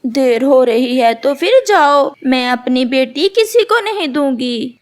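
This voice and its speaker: A woman's voice: sits high, expressive, takes it slowly. A woman voicing a sly, deceitful female cartoon bird with a brazen, insistent manner. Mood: brazen, defiant.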